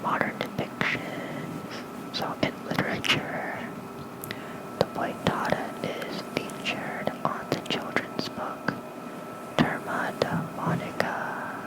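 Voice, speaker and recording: A gentle ASMR host who whispers throughout, breathy and very slow. The delivery is a hushed bedtime reading with small murmured asides, spoken close into a covered microphone. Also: poor audio. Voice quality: soft, unvoiced, breathy whisper. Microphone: close mic with fluffy cover.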